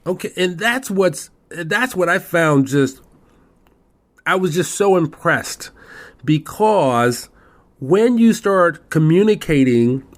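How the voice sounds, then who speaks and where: A man's voice: on the high side, expressive, slow pace. A man hosting a podcast interview, chatty and openly admiring of his guest. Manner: admiring, chatty, laughs easily. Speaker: podcast host.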